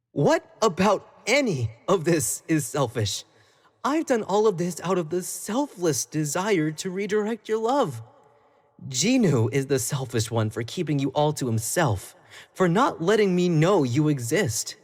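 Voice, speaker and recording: A man's voice: a little high, full character voice. A man playing an obsessive, jealous young pop idol in a dramatic roleplay monologue, speaking intimately to the listener and swinging between sweet pleading and cold condescension. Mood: wounded, indignant.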